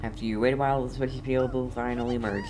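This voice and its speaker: A man's voice: medium pitch, steady tone, racing, darkish tone, a little hiss or hum. A man giving casual gameplay commentary as a streamer, in an offhand, playfully repetitive mutter. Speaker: game streamer.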